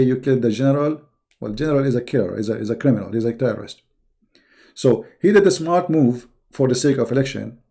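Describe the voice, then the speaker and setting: A man's voice: medium pitch, gravelly, mellow. A man from the Middle East, a native Arabic speaker with an Arabic accent, giving informal, unscripted political commentary as a live-stream host, emphatic and often repeating and rephrasing his points.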